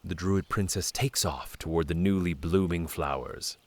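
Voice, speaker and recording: A man's voice: low voice, normal speed, natural voice. A man narrating a fantasy audio drama in a scripted storybook style. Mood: measured.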